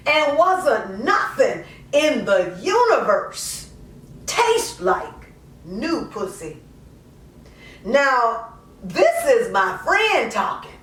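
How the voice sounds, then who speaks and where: A woman performing a dramatic monologue poem as a troubled, self-justifying mother, colloquial, drawn-out and confessional. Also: a little high, a bit bright, slight background noise, heavily acted. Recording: echoey room, distant mic.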